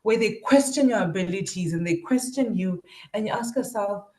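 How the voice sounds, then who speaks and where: A woman's voice: mid-range voice, moderate pace, warm tone. A South African woman lecturer giving a reflective academic talk with personal anecdotes. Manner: reflective, acts out quoted remarks. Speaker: university lecturer presenting research. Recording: roomy, echoing sound.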